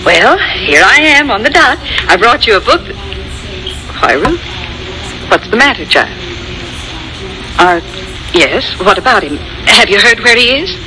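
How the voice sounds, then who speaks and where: An actress playing a kindly, motherly woman friend in a 1940s radio drama, speaking gently and with concern. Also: a little high, big pitch swings, measured pace, long pauses, over music, rough recording. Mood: concerned, alarmed. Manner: gentle, solicitous.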